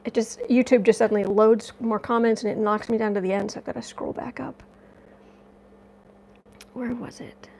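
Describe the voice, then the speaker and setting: A woman hosting a casual livestream, chatty and rambling as she reads viewer comments, her voice slightly raspy from dust allergies. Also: on the high side, fairly even intonation, moderate pace, long pauses, darkish tone. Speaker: tarot and astrology livestream host.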